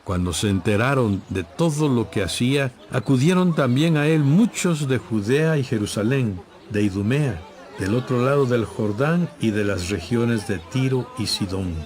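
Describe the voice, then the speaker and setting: A man giving scripted, measured and solemn narration for a dramatized audio Bible. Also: mid-range voice, expressive, a little mellow, some chatter behind.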